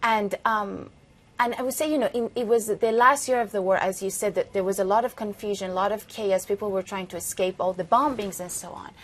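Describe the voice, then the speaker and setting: A woman in her thirties, originally from Iran and a native Farsi speaker, answering questions in a broadcast interview in a thoughtful, measured way with hesitant fillers. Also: medium pitch, steady tone. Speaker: author, interview guest.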